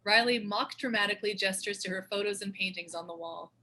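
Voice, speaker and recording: A woman's voice: medium pitch, a little fast, pushed and bright. A woman reading stage directions as scripted narration for a screenplay table read.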